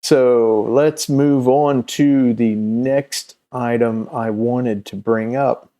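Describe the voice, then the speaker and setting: A middle-aged man talking casually to viewers as a video host, unscripted, with a halting, meandering delivery and long hesitations. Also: medium pitch, steady tone, warm tone. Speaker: host of a comics recommendation video channel.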